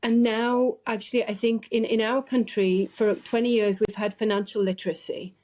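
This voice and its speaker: Mellow-voiced, earnest British woman. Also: a little high, little pitch movement, normal speed, rough recording. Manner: earnest, frustrated. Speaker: podcast host. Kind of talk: conversational podcast discussion.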